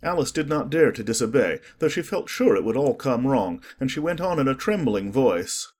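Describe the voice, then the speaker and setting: Husky, expressive male storybook narrator. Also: medium pitch, moderate pace. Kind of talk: scripted audiobook narration.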